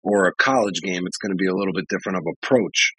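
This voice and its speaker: A man who works as a referee, a guest in a casual interview, explaining things in a measured way. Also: low voice, fairly bright tone, slightly rough, dry recording, low-quality recording.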